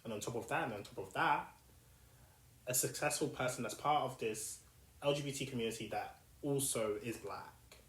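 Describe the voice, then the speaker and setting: A young man with a South London accent hosting a podcast, talking through his past in a thoughtful, reflective solo monologue full of pauses and restarts. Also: mid-range voice, fairly bright tone. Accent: South London English. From South London, England. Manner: thoughtful, with frequent fillers and restarts. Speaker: podcast host. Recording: echoey room.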